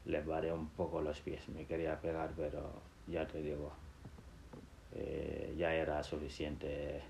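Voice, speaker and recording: Young man from Gambia, podcast host, slow and gravelly, telling his life story with fillers and restarts. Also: extremely low pitch, completely flat delivery, darkish tone. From Gambia and Senegal. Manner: halting, many fillers and restarts. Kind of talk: casual personal monologue. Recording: dull lo-fi sound with faint noise.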